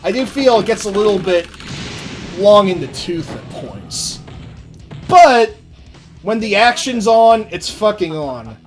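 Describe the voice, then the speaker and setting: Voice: medium pitch, sing-song, takes it slowly, long pauses. A streamer chatting casually while playing, rambling in an offhand way and swearing freely. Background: game music.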